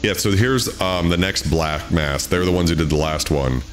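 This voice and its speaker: Very deep-voiced man chatting on a livestream. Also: normal speed, slight background noise. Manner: relaxed, informal, profane. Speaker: streamer hosting a spooky story night. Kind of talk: casual livestream chat. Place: small indoor room at a streaming setup. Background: loud music.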